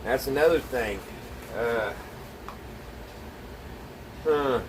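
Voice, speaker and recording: Folksy guy talking thru a diy job, phone mic kinda far off, lots of steady noise. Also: mid-range voice, very slow. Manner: folksy, plainspoken, thinking aloud while working. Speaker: DIY vlogger. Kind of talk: casual hands-on narration to viewers. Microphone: phone camera set away from speaker. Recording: distant mic, noisy with steady background hum.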